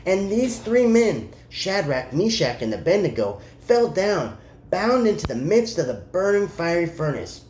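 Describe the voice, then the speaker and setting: Expressive, mellow scripture reader in a roomy space. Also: mid-range voice, a little slow. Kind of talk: dramatic Bible reading. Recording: roomy echo, faint background noise.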